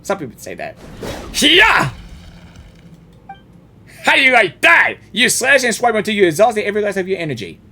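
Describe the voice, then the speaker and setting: A man doing casual, slangy, excitable live reaction commentary as a game streamer. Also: sits high, moderate pace, long pauses.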